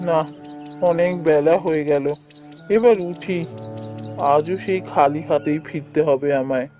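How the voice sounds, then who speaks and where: An actor playing a poor, kindly woodcutter father in a cartoon, speaking in a gentle, plaintive, musing way. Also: mid-range voice, unhurried, music in the background, lightly acted. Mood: weary, worried. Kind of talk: cartoon voice acting.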